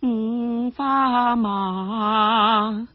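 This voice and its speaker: A man voicing a proud, tipsy princess in a martial-arts story, declaiming in drawn-out phrases. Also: extremely high pitch, steady tone, no echo, dull audio, voice pushed up, heavily acted. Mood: tipsy, stirred. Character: tipsy proud princess reciting poetry in wuxia tale.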